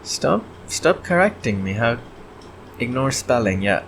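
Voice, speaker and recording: Slow-paced man thinking aloud, faint background noise. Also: medium pitch, sing-song, a bit bright. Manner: halting, often clearing throat. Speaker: indie game developer hosting a devlog. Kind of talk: casual chat, thinking aloud while working.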